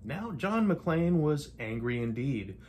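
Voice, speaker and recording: An older man gives a theatrical read-aloud of a rhyming picture book. His delivery is measured, with playful emphasis on the verse. He is recorded at home, with clear noise in the room. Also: on the high side, big pitch swings, a little mellow. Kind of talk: rhymed storybook read-aloud. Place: indoors in a home living room.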